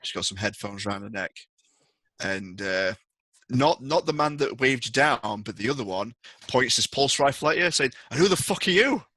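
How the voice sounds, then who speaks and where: A man's voice: mid-range voice, normal speed, bright, forceful tone, dry recording. A man narrating a tabletop roleplaying game as game master, describing scenes to players.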